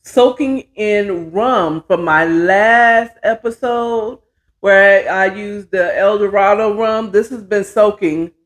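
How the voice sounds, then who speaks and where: A woman's voice: medium pitch, slow pace. A middle-aged American woman hosting a show, chatting casually to viewers in a folksy way, often half talking to herself. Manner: folksy, talks to herself while working. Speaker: host of a storytelling show.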